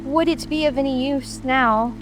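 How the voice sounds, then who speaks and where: A woman's voice: high voice, steady tone, moderate pace, natural voice. A woman playing a polite, curious little girl who speaks earnestly and apologetically in a dramatic audiobook. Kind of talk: dramatic audiobook character reading. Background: music, rain ambience.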